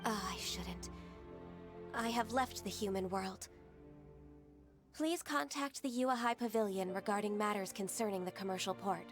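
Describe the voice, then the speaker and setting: A woman voicing a gentle, dutiful half-divine secretary. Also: mid-range voice, measured pace, long pauses, over music, close to an everyday voice. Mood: wistful. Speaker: game character.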